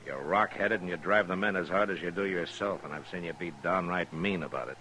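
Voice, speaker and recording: A frontier marshal of the American West with a very low, steady voice. He speaks bluntly and with authority, in old radio drama style. The recording has a faint noisy bed. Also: brisk. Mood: grave, probing. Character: steady, stern frontier U.S. marshal in his prime. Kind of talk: 1950s radio western acting.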